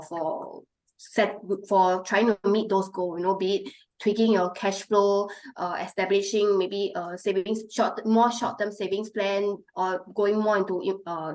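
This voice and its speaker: A woman with a hoarse, fading voice, speaking as a financial specialist in a webinar and explaining step by step with many fillers. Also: medium pitch, poor audio. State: hoarse, losing her voice. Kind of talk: webinar presentation.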